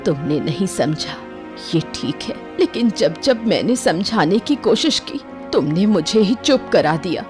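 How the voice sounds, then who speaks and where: Village mother , played by a woman: lowish voice, bitter, weary and reproachful, cartoon melodrama with clear music behind. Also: sing-song, unhurried, darkish tone. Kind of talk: cartoon voice acting.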